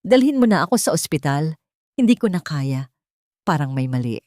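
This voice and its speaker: A woman's voice: low voice, very animated, normal speed, dark tone, dry recording. Casting: exhausted pregnant young wife, voiced by a woman narrator, begging desperately, audio drama, dry close sound. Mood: weak pleading. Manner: pleading, exhausted.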